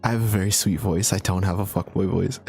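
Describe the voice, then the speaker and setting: Husky laid-back young man streaming. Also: on the low side. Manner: laid-back, chatty, laughs often, many fillers. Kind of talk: casual livestream Q&A chat.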